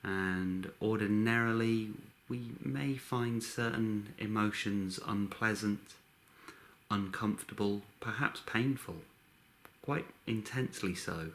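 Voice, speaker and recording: A man leading a guided meditation in a measured, instructional way, speaking in short phrases with long pauses. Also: on the low side, fairly bright tone.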